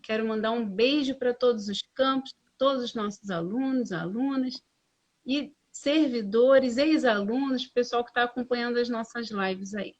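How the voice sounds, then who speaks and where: A woman's voice: fairly high pitch, moderate pace. A woman teacher hosting a live class on a video call, speaking formally with frequent tag questions. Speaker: administration teacher, host of the live. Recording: dull lo-fi stream audio.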